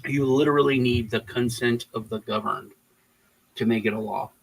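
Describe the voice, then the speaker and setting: A man from Texas who was raised in Germany, hosting a casual political livestream chat and rambling with halting restarts. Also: medium pitch, vocal fry, breathy edge, rough recording. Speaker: livestream host.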